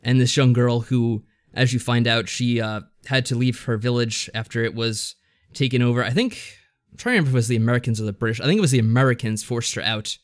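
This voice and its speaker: A man's voice: medium pitch, fast. A man co-hosting a podcast, giving a casual review in a rambling, slightly hesitant explanatory way. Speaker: podcast co-host.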